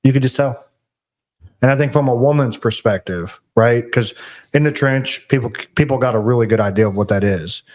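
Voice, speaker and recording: A middle-aged man hosting a casual podcast, talking in an animated and preachy way with frequent restarts. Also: medium pitch, a little fast. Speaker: podcast host. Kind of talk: casual conversation.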